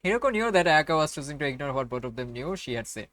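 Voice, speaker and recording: A man's voice: on the high side, very animated, fast, a bit bright, no room sound. A man hosting a game playthrough, reading a visual novel aloud with casual commentary.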